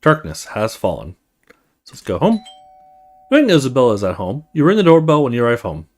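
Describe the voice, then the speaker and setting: A man hosts a let's play, reading a horror story game aloud. His pitch moves around a lot as he tells the story. He mixes scripted narration with casual remarks of his own. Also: huge pitch swings, fairly quick, a little mellow.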